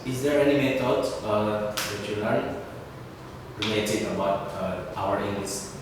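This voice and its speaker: A man's voice: fairly low pitch, far from the mic, background noise. Hesitant Indonesian-accented man asking a question. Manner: halting, hedged, with frequent "uh". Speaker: audience questioner. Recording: echoey hall, picked up from afar.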